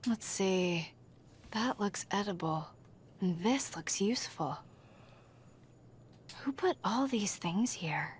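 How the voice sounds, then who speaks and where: A woman's voice: mid-range voice, animated, slow, long pauses, a little hiss or hum. A woman voicing a curious, practical young female adventurer in a video game.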